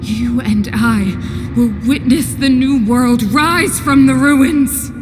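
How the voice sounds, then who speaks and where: A solemn matriarch and ruler from a video game. She speaks slowly and gravely, like an oration, over clear music. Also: high-pitched. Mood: fervent, grave. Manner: grave, measured, oratorical. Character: solemn, commanding, fanatical mother and ruler.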